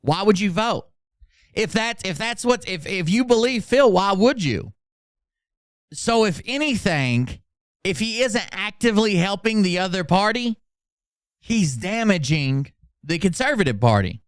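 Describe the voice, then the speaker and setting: A man's voice: high voice, very animated, a little slow, no room sound, studio quality. A man hosting a commentary show, speaking informally and sarcastically and swearing at times, in a mocking style. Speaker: host of a commentary channel. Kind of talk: mocking commentary with dramatic read-outs.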